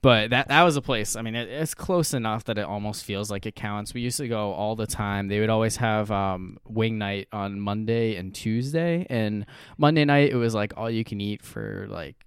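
A man's voice: fairly low pitch, normal speed, clean tone, no room sound, clean studio sound. A young man from New Hampshire, co-hosting a casual chat and rambling with fillers. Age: young adult.

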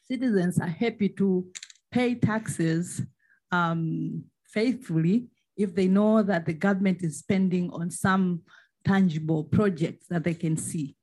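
A woman with a Tanzanian accent asking a question and commenting at a seminar, with hesitant, pause-filled phrasing. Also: fairly low pitch, darkish tone, poor audio. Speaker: social protection expert, audience member.

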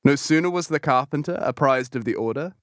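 A man reading a classic novel as an audiobook, with measured, expressive storytelling delivery. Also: medium pitch, no echo, studio quality. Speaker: audiobook reader. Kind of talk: literary audiobook narration.

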